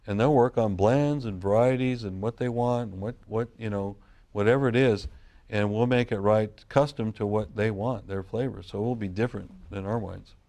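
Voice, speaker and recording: A middle-aged Californian man speaks in a fairly low register as an interview guest. He rambles through long stories about farming and business and often restarts his sentences mid-thought. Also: moderate pace, mellow. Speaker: guest, wine grape grower and broker. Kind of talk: casual interview storytelling.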